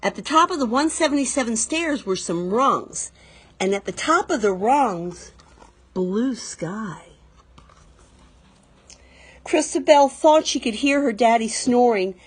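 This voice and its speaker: A woman reading a children's picture book aloud expressively, pausing to explain things to young listeners. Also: on the high side, expressive, breathy edge. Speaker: read-aloud storyteller.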